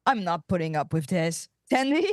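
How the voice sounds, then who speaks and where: A woman playing a dejected young male starship ensign in an animated sci-fi comedy table read, plaintive and exasperated. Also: lowish voice, moderate pace, dry recording, clean studio sound, close to an everyday voice.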